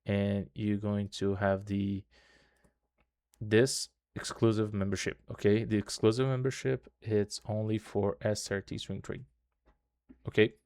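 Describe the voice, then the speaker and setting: A man with a low voice, Portuguese being his first language, guides viewers through a screen share in loose, repetitive English. His delivery stays flat and even. The sound is dry and close. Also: unhurried, no room sound. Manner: loose repetitive tutorial talk, constant "okay" and "right" tags. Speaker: trading channel host. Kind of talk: casual screen-share walkthrough.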